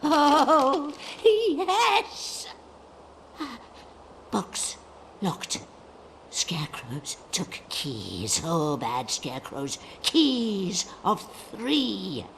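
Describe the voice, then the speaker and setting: A crazed old hag from a dark fantasy game, swooping wildly from low mutters to shrieks. The speech is very drawn out, wheedling and broken, in a gravelly cackle over faint noise. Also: female voice, wildly swooping pitch, very slow, heavily acted. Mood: scheming, manic. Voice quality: creaky. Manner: cackling, sing-song, broken speech in third person. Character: crazed, wheedling old witch, craving youth. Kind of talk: video game character acting.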